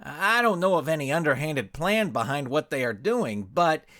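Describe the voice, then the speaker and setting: A nervous male soccer coach in an audio story, as a man reads him. He speaks haltingly, tripping over his first words. The pitch sits high and moves around anxiously. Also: very animated, fairly bright tone. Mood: reluctant. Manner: stammering, hesitant.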